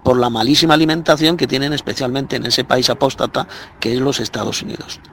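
A man's voice: mid-range voice, steady tone, fast talker, fairly bright tone. A man preaches in a harsh, scornful tone. His voice sits in an average register. A faint hiss of noise runs under the recording.

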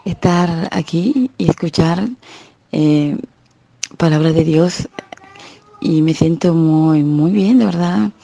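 Low, gravelly Dominican woman. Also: takes it slowly, pauses often. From Dominican Republic. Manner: warm, devout, rambling. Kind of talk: voice message to a church group.

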